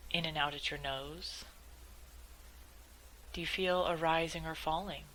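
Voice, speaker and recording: Woman doing a guided meditation, low pitched but bright crisp-edged voice, gentle step by step, some noise in the background. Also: poor audio. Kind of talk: guided meditation, calm scripted instruction.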